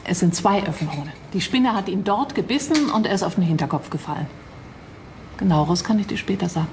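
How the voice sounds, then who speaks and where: An actress playing a self-assured forensic examiner in a crime drama, explaining with confidence. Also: female voice, mid-range voice, expressive, brisk, darkish tone, slight background noise, close to an everyday voice. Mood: pleased, explanatory. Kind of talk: crime drama acting in German.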